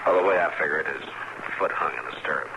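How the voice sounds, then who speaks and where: Very deep-voiced, laconic western marshal. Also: male voice, muffled recording. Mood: gentle, sober. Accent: American. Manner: measured, understated, hesitant when delicate. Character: steady, laconic, reasonable frontier US marshal. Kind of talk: old-time radio western acting. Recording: noisy old radio drama recording.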